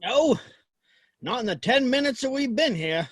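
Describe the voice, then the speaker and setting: An actor playing a dry, sardonic townsman in a Western audio play, with deadpan wit. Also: very high voice, normal speed, husky, full character voice. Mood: dry teasing. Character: dry, sardonic Old West townsman, Hank. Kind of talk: Western audio drama acting.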